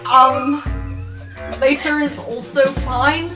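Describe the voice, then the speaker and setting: A woman's voice: high-pitched, fairly even intonation, drawn-out words. A woman voicing an awkward, earnest young male student who stammers hesitantly and explains matter-of-factly. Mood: nervous. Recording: music behind.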